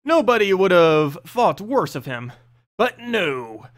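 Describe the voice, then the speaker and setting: A man narrating a fantasy story in an expressive, dramatic storyteller's manner. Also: high voice, slow. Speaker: narrator reading game lore.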